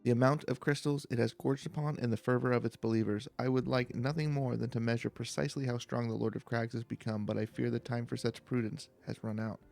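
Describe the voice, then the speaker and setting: A man reading game dialogue aloud in a relaxed let's play style, now and then tripping over a phrase. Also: medium pitch, fast, creaky, few pauses, no room sound. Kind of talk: reading game dialogue aloud in a calm, slow-paced playthrough.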